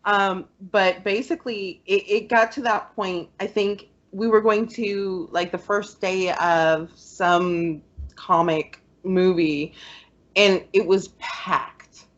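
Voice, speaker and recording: A woman's voice: medium pitch, steady tone, slow pace, dull audio. A woman podcast host in casual conversation, telling personal stories in a chatty way and laughing often.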